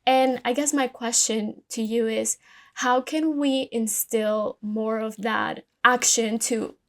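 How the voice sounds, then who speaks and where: A woman's voice: on the high side, steady tone, takes it slowly. A woman from Colombia with a Colombian Spanish accent, whose first language is Spanish, hosting a podcast in a reflective way, with many fillers and restarts. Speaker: podcast host. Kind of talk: casual interview chat.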